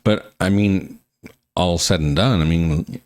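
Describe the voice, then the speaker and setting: Deep-voiced man, dry close sound. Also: a little mellow, no echo. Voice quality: bass voice. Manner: halting, restarts often, casual. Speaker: co-host of a music reaction channel. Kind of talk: casual chat with a partner.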